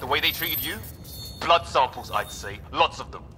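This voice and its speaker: A man's voice: mid-range voice, expressive, moderate pace, pushed and bright, soft background music. A man playing a wry, jittery young soldier sidekick in a sci-fi video game. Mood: uneasy. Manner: wry, colloquial.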